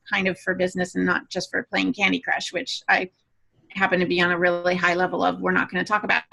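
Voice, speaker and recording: A woman's voice: medium pitch, bright, forceful tone, low-quality recording. A woman hosting a podcast in a chatty way, with playful, teasing banter. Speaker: podcast host and moderator.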